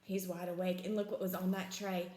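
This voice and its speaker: A woman's voice: lowish voice, little pitch movement, brisk, darkish tone, slight background noise. A woman reading a picture book aloud as a teacher, with simple explanatory asides and questions for young children, in an echoey room.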